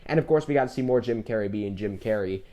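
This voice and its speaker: A man's voice: lowish voice, quick pace, warm tone. A man co-hosting an enthusiastic, casual discussion about films, opinionated and stressing his points with repetition. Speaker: podcast co-host.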